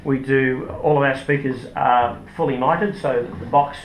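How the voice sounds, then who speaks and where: A man's voice: medium pitch, steady tone, moderate pace, slight background noise. A man with an Australian accent explaining products in an informal interview, in a hesitant, explanatory way. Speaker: company product manager being interviewed. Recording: roomy echo with music behind.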